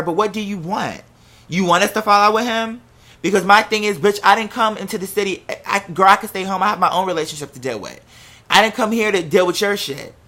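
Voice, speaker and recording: An American podcast host telling a personal story casually, in an animated, candid and profanity-laced way. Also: medium pitch. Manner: acts out quoted remarks while telling.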